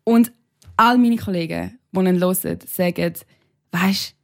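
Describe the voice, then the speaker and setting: A woman's voice: lowish voice, a little slow, gravelly. A woman from Switzerland speaking with a Swiss German accent, a playful, teasing podcast host chatting casually. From Switzerland, Sarganserland area.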